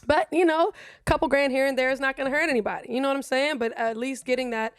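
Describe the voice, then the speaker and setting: A woman's voice: sits high, fairly even intonation, fast talker, clear, resonant tone, no room sound. Brief: woman, English, rambling and blunt, dry close sound. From Germany. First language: German. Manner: rambling, frank, swears freely. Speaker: guest streamer. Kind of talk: casual interview chat.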